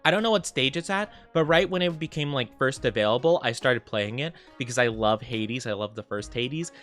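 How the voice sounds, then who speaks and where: A young man streaming from home, chatting casually with viewers in a soft, rambling way full of fillers, sounding sniffly and stuffed up. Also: mid-range voice, lively intonation, fairly quick, soft background music.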